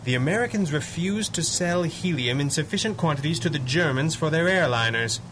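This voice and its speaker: Confident masked mystery man, old-time radio serial; brisk, dry wit, noisy rumbling background. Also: animated, fairly bright tone. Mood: urgent, reasoning. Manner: brisk, deductive, dryly witty. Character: confident masked male superhero, 1930s pulp mystery man. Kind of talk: 1930s-style radio adventure acting.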